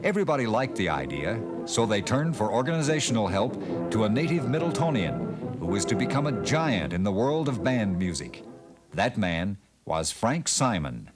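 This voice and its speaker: A man giving scripted documentary narration in a measured, expressive storytelling manner. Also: fairly low pitch. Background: band music.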